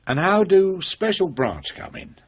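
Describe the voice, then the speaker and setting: Casting: middle-aged British detective boss, cornered and sardonic, husky lively voice, 1980s radio play. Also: male voice, high voice, a little slow, full character voice. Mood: probing, cynical. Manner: blunt, sardonic, probing. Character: cornered, wily veteran police chief inspector, defiant and cynical. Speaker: actor in radio drama. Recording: dull, muffled old radio recording.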